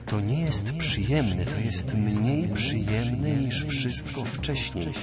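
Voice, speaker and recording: An actor playing a frightened, oversensitive talking ear in a radio play, stammering into shrill outbursts. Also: male voice, takes it slowly, over loud music, close to an everyday voice. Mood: alarmed.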